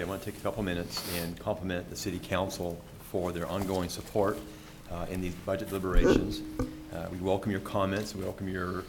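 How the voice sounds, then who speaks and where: A man, a city official, opening a budget session with halting, formal remarks full of repeated words and uhs. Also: low-pitched, heavy background noise. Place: council chamber.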